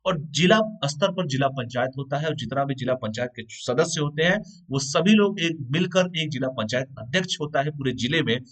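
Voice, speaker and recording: A man with a hoarse voice gives an exam-prep lecture at an ordinary middle pitch. He explains each point patiently, repeats key terms, and keeps checking whether his students follow. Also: animated, moderate pace, hardly any pauses. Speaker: exam coaching teacher. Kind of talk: live online lecture.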